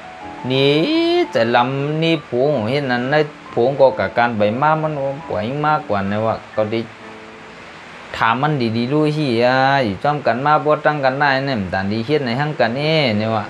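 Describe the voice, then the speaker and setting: A man tells a folk tale in a loose, rambling oral style, full of fillers and repeats. His voice sits at a middle pitch, with soft music faint behind him. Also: a little fast. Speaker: storyteller.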